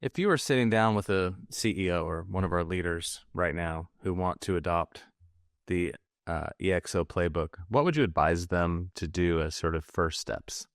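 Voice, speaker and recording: Low-voiced male co-host asking questions. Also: normal speed, dry recording, studio quality. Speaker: podcast co-host. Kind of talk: interview question.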